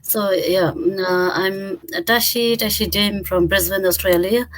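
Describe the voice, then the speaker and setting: A woman's voice: medium pitch, steady tone, a little slow, slight background noise, low-quality recording. A woman in her thirties from Bhutan, a guest on an online interview, answering chattily and correcting herself often. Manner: chatty, self-correcting, restarts.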